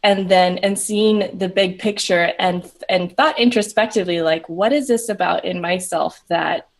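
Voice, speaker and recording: A woman from Peru, a coach for moms, speaking as an interview guest in an earnest, reflective way with many halting restarts. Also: lowish voice, fairly even intonation. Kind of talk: casual interview chat.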